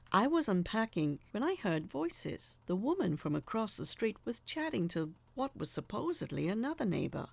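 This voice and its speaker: A woman narrating a scripted horror story as an awkward, obsessive young male peeping Tom, in a confessional, dramatic manner with breathy drops. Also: mid-range voice, no room sound. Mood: confessional, awed.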